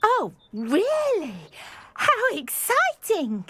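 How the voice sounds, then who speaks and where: An actress playing a chatty elderly witch who is fond of curious young people, speaking in a slow, sing-song, gushing way. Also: very high-pitched, wildly swooping pitch, a bit bright, exaggerated voice. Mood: delighted.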